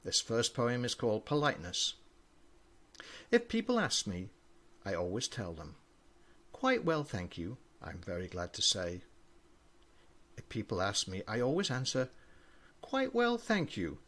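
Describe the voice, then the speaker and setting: Older guy reading kids poems like a grandpa, expressive, normal pitched voice. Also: measured pace, long pauses. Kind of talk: reading children's poems aloud.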